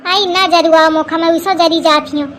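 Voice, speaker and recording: महिला की आवाज़ में बहुत ऊँची आवाज़ वाली अवधी सास. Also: female voice, extremely high pitch, fairly even intonation, moderate pace, a bit bright, slight background noise. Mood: exasperated, grumbling. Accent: Awadhi. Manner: scolding, gossipy, boastful. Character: plump middle-aged scheming, suspicious village mother-in-law. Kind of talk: Awadhi comedy cartoon dialogue.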